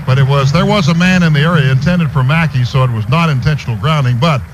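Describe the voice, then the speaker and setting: A man's voice: fairly high pitch, fast talker. An American man doing live play-by-play commentary of a football game for radio. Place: stadium radio booth. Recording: noisy stadium radio broadcast recording. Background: clear background noise.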